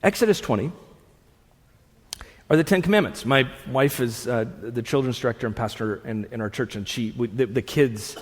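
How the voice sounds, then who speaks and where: A man in his thirties, a pastor preaching a sermon to a room, conversational with asides, swinging from loud bursts to hushed lines. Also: medium pitch, moderate pace. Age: 30s. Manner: conversational with frequent restarts and asides. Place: church hall with an audience. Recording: long reverb of a large hall.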